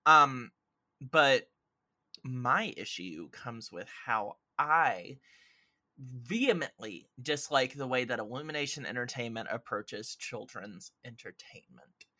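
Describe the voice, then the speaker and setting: A man's voice: medium pitch, lively intonation, slow, sharp tone. A man giving emphatic, casual opinions as a podcast commentator in a film discussion. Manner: emphatic, mildly profane, uses mimicked quotes.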